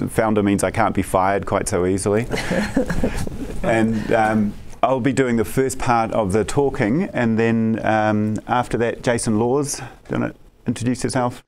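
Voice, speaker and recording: A man's voice: on the low side, a little slow, a little mellow, slight background noise. A man with a New Zealand accent introducing himself as company head with dry humor. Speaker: company CEO presenter. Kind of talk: self-introduction at a briefing.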